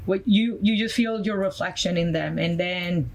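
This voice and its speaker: A woman from Colombia speaks English in a Colombian Spanish accent. Her voice sits low, and she talks earnestly, stopping often to find words. Faint noise runs under the recording. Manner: earnest, halting, many fillers. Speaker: guest, nonprofit founder. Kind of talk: podcast interview, personal story.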